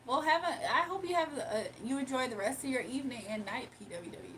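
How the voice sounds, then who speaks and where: A woman's voice: sits high, brisk, fairly bright tone, a little hiss or hum. A woman chatting casually on a live stream from her phone, reading viewer comments aloud and laughing often. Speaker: livestreamer.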